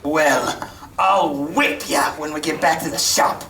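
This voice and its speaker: A woman's voice: low voice, slow pace, gravelly, a bit bright, full character voice. A woman playing a sneering bully of a boy on stage. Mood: taunting. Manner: coarse taunting working-class speech, cackling. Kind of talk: school stage play. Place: school auditorium stage. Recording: distant roomy stage recording, faint noise.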